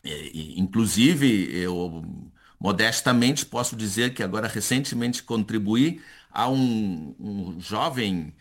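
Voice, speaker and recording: An older man, an academic, answering in a conversational interview, halting and repeating words as he searches for them. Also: lowish voice, expressive. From Chile-born son of Brazilian exiles, later Spain. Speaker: academic, university professor, interview guest.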